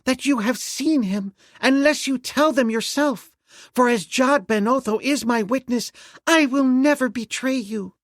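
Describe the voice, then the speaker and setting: A man's voice: extremely high pitch, measured pace, no room sound, studio quality, voice pushed up. A man voicing a loyal, earnest young slave girl in a storybook reading, speaking emphatically. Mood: fervent.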